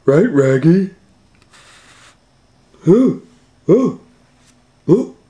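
A goofy, cowardly cartoon dog, acted out by a man, pitched up high. The speech is garbled, with R sounds swapped onto the front of words. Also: very animated, very slow, warm tone, roomy sound, exaggerated voice. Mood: eager, spooked. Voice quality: garbled speech with R sounds replacing first consonants.

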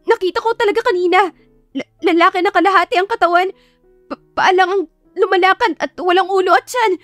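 A woman's voice: very high voice, fairly quick, a bit bright, dry recording, faint music behind, voice pushed up. A woman voicing a timid, easily frightened young female student in an audio love story, with a nervous, stammering delivery. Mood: terrified.

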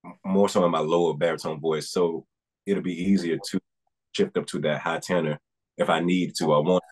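A young man speaks as a guest on a video call from a room at home. His voice is very low with a husky grain, and he talks earnestly in loose, rambling sentences. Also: steady tone, fairly quick, a little mellow. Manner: earnest, rambling with restarts and fillers. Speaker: guest singer. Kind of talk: casual interview. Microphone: video call webcam.

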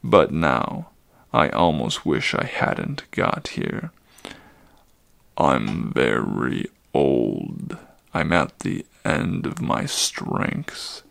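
A man voicing a very old, weary male centaur with a deep, gravelly voice, pleading slowly and heavily in a dramatic storybook reading. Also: very animated, fairly bright tone, no echo, heavily acted. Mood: urgent, weary. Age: older.

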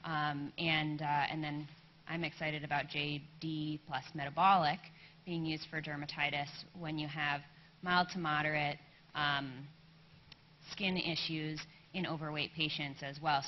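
Audience woman, low voice, halting with fillers, muffled hall with faint hiss. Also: little pitch movement. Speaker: audience member. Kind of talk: audience comment.

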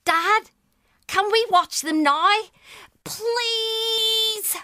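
A woman's voice: very high voice, drawn-out words, pushed and bright, dry recording, clean studio sound, heavily acted. A woman voicing a small, sweet, polite, wide-eyed boy in a comic radio drama. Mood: awed, pleading.

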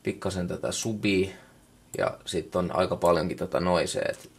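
Low-voiced Finnish man, casual tutorial talk. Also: gravelly, slightly rough. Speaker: music production tutorial maker. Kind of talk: casual unscripted software walkthrough. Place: indoors at a computer workstation. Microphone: camera microphone.

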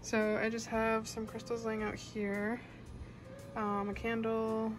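A woman's voice: on the high side, monotone, takes it slowly. Young woman chatting casually over music. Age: young adult. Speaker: vlogger. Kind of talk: casual vlog chat. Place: carpeted bedroom at home.

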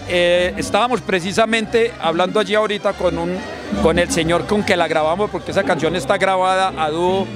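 High-voiced Antioquian man. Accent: Colombian Spanish, Antioquia. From Antioquia, Colombia. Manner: earnest, chatty, with frequent restarts. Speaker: singer and songwriter. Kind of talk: casual interview chat. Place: outdoors at a busy urban gathering. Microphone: handheld mic held by interviewer. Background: crowd chatter and loud music.

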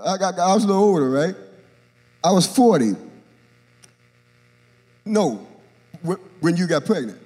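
Lively high-voiced older preacher in a hall. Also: male voice, a little slow, long pauses. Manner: animated, acts out Bible characters, jokes with the congregation. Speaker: bishop. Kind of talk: sermon. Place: church sanctuary stage. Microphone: handheld microphone. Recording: long reverb of a large church hall.

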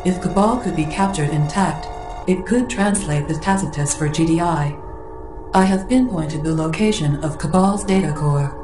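Actress voicing female AI assistant, formal, measured, impassive, reverberant, video game, music underneath. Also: deep voice, close to an everyday voice. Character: calm, impassive female military computer assistant. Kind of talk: video game cutscene acting. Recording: echoey processed sound over music.

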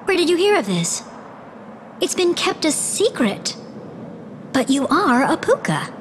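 A woman's voice: high voice, sing-song, unhurried, slight background noise. An actress playing a cautious young female rabbit-folk villager. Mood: wary. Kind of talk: video game cutscene acting.

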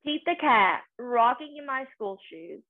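A young woman reads a children's story aloud. Her voice sits high and she takes her time, with a sing-song lilt made for little listeners. The sound is thin and narrow, much like a phone. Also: animated, a little slow. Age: young adult. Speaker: story reader. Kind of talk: children's picture book read-aloud.

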